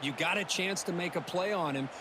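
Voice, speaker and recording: A man's voice: sits high, brisk, very noisy, close to an everyday voice. A man voicing an opinionated football color commentator in a video game, conversational and emphatic.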